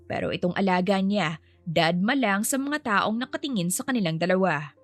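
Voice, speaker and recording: A woman's voice: medium pitch, lively intonation, normal speed. A woman storyteller reading scripted fiction narration. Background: music.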